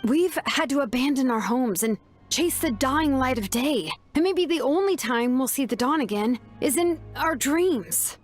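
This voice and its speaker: High-voiced, weary, resolute survivor. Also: female voice, lively intonation, measured pace, soft background music. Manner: earnest, solemn. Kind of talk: video game character acting.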